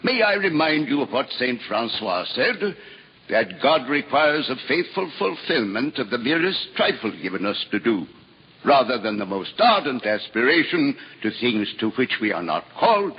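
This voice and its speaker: A clever teasing monk, husky voice, lots of up and down, echoey dull old radio. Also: male voice, medium pitch, sing-song, normal speed. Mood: wry. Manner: dry, teasing, scholarly. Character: witty learned male monk, Father Elias. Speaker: priest. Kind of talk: 1950s radio drama acting. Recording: old radio broadcast with long reverb.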